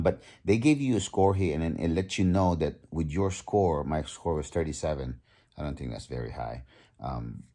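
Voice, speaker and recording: A man around fifty talking casually on his video blog, halting and full of ums and restarts. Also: very deep voice, warm tone. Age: 50s. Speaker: vlogger. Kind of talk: casual vlog talk.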